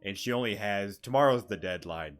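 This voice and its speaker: A man's voice: fairly low pitch, expressive, brisk. A man giving casual let's-play commentary, with wry, joking asides. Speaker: gaming YouTuber. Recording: game music underneath. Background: game music.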